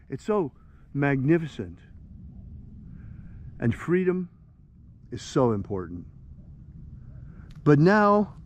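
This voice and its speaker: A man in his sixties preaching with passion and leading a ritual prayer, rising to indignant outbursts. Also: high-pitched. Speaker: ritual leader.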